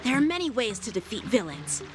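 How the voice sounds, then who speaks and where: Casting: wise young swordswoman for anime game, fairly high, lively intonation, kindly and measured. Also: over music. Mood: encouraging.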